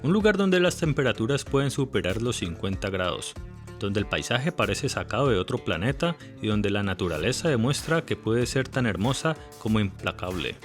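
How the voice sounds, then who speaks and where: A man reads a scripted documentary voice-over in a polished, lightly witty manner. His pitch sits in the middle but moves a lot. Faint music plays underneath. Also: big pitch swings, normal speed. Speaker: narrator.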